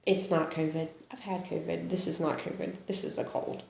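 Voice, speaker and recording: A woman's voice: lowish voice, little pitch movement, normal speed, gravelly, very noisy. A woman with a slight cold, chatting casually about her cross-stitch projects, self-deprecating and laughing often. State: sniffly with a cold. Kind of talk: casual show-and-tell chat. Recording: echoey room.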